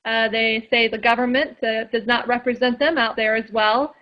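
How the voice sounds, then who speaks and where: A woman's voice: fairly high pitch, steady tone, normal speed. A woman guest in a casual radio interview, chatty and full of fillers and restarts. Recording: dull lo-fi sound.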